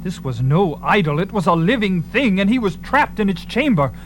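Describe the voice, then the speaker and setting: A man's voice: high voice, big pitch swings, normal speed, slight background noise, rough recording. A man narrating a fantasy adventure in a theatrical, suspenseful and emphatic style, with music behind him.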